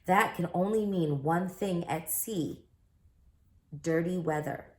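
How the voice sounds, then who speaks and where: A woman reading a children's book aloud as an expressive storyteller, recorded in an echoey room. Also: on the low side, takes it slowly.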